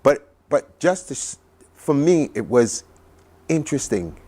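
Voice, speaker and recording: A man's voice: fairly high pitch, sing-song, unhurried, warm tone, husky. A Barbadian man speaking conversationally as a television interview guest, recounting things in an enthusiastic, reflective way. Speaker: studio guest recounting a trip.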